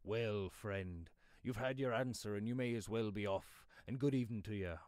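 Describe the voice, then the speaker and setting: Man acting a blunt, loud country father. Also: lowish voice, dry recording, clean studio sound, lightly acted. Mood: curt, firm. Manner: blunt rustic speech, brisk and firm. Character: gruff, kindly, quick-speaking yeoman father, brusque and dismissive. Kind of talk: audiobook character voice.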